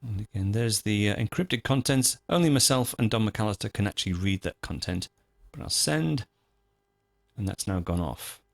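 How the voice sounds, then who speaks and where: A man presenting a software tutorial, talking informally and methodically through each step as he goes. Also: fairly low pitch, animated, moderate pace, creaky, dry recording, studio quality. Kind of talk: screencast tutorial, unscripted step-by-step narration.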